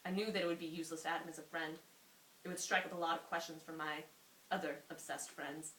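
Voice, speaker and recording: Female amateur story reader, lowish voice, informal and giggly, roomy sound with faint hiss. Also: level tone, fast, fairly bright tone. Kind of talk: reading a story aloud, breaking into laughter and asides.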